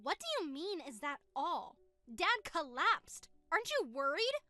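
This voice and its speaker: A woman plays a young sister in an audio drama, scolding her brother with sharp, indignant accusations. Her voice sits high and bright, and it sounds soft and distant against a dry, close sound with faint music behind. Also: fairly quick, clean tone, no echo. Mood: accusing.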